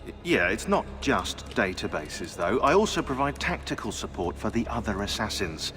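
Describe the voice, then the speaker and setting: A dry, condescending man who drips sarcasm, his pitch swinging lively up and down. A long reverb hangs on the voice, with faint music behind. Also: moderate pace. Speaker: archivist and tactical support.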